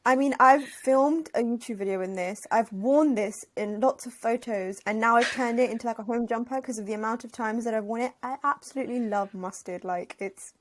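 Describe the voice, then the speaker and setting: A woman's voice: on the high side, brisk, few pauses. A young woman, a podcast guest talking openly and reflectively about her life. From Afghanistan, raised in Northwest London. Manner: reflective, open, with frequent fillers and restarts. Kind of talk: casual interview chat.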